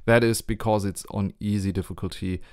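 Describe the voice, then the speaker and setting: A man's voice: lowish voice, steady tone, a little fast, dry recording, studio quality. A man with a German accent, not a native English speaker, narrating a strategy game tutorial carefully, with frequent ums and restarts.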